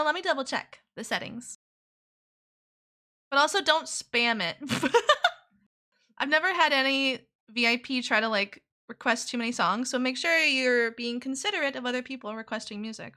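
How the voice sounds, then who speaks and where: Brief: bubbly woman streamer, high and bright, slight sore throat, casual giggly chat. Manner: bubbly, giggly, reads out viewer thanks. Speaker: VTuber streamer.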